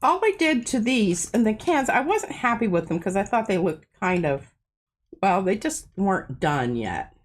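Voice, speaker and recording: A woman's voice: medium pitch, very animated, moderate pace. Chatty woman demoing crafts. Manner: chatty, self-deprecating, talks while working. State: stuffy nose. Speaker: craft show host. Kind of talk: casual art demonstration. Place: home art studio.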